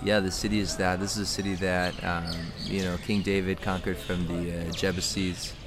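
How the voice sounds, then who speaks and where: A man's voice: deep voice, little pitch movement, moderate pace. A man from the American Midwest, speaking as a guest in a reflective, spiritual, rambling way during a casual outdoor talk. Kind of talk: casual conversation. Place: outdoors in a city. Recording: noisy outdoor street recording.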